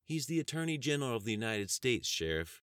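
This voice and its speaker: A man's voice: mid-range voice, sing-song, fairly quick, fairly bright tone, smooth, no echo, clean studio sound, pitched above the performer's own voice. A man voicing an elderly woman secretary, timid but nagging, imploring and cautioning in a narrated story. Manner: imploring, warning.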